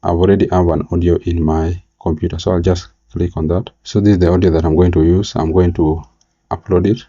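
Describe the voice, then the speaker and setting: A man giving a halting, step-by-step software tutorial as a voice-over. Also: sits low, level tone, slightly rough. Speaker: tutorial presenter. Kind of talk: screen-recorded software tutorial narration. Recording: slightly dull, lo-fi mic.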